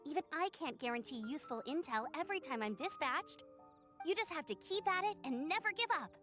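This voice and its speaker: A cheerful young female knight scout from a video game, chatty and encouraging, with a high voice that bounces around lively. She sounds friendly and upbeat. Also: a little fast, soft background music.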